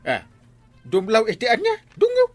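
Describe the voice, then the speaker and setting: An actor with an Ilocano accent playing an aggrieved, sputtering village captain in a radio comedy drama. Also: male voice, very high-pitched, wildly swooping pitch, moderate pace, dry recording, faint music behind, full character voice. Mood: indignant. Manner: sputtering, exclamatory. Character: aggrieved, excitable male village captain who owns a tricycle.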